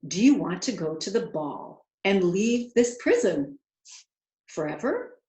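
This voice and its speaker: A woman voicing a gentle, earnest manservant in a storybook, speaking in a firm, measured, urging way. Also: mid-range voice, big pitch swings, echoey room. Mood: gently urging.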